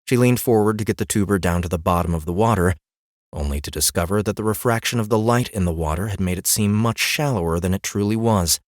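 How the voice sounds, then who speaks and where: A man's voice: on the low side, quick pace, no echo, clean studio sound. A man narrating a fantasy audiobook as a scripted story reading.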